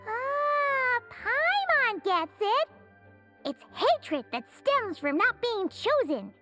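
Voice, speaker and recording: Squeaky tiny fairy mascot from a game, naive and excitable, slow talker, music behind. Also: female voice, heavily acted. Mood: pleased discovery. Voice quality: squeaky, childlike. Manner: talks about self in third person. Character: small childlike fairy companion, naive, curious, excitable. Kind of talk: video game character acting.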